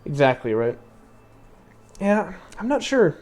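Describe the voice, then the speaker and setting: A young man with a somewhat high voice talks through a strategy session on a live stream. He thinks aloud, fills gaps with "like" and "um", and laughs often. His voice has turned slightly raspy from long talking. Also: very animated, takes it slowly, darkish tone, soft background music. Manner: chatty, thinks aloud with many fillers and laughs. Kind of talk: casual live-stream commentary.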